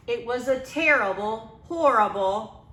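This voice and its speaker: A woman's voice: a little high, bright, forceful tone, exaggerated voice. A woman voicing a grumpy, aggrieved little boy in a storybook, whining and drawing out his complaints. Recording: echoey, roomy sound.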